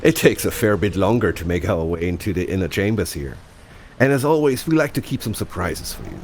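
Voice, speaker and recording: A man presenting a game showcase in scripted, measured, explanatory promotional narration. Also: low voice, lively intonation, darkish tone, a little hiss or hum. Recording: voice-over with clear music behind.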